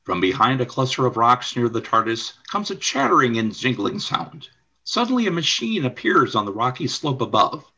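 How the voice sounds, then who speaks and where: Animated male narrator, bright and slightly husky. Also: mid-range voice, moderate pace, dull audio. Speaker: narrator and announcer. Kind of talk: scripted radio play narration.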